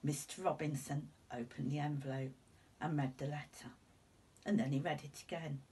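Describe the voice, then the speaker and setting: A woman in her sixties reading a children's storybook aloud in an expressive, deliberate way. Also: sits low, husky. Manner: deliberate, animated telling. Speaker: teacher reading a picture book to children. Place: indoors at home, seated before curtains. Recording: slightly distant room microphone.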